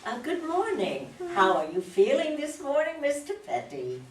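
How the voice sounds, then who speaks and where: Woman playing matter-of-fact nurse, lively high-ish pitch. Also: moderate pace. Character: matter-of-fact nurse in her mid-forties. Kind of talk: stage play reading. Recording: roomy, distant mic.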